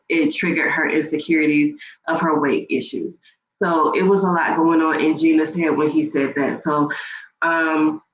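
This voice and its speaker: Host: woman, stuffy nose, lower voice, chatty recap delivery, echoey lo-fi room. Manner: chatty, rambling with fillers and restarts. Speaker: YouTube reaction host. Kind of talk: casual reality TV recap. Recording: echoey room, dull lo-fi sound, faint noise.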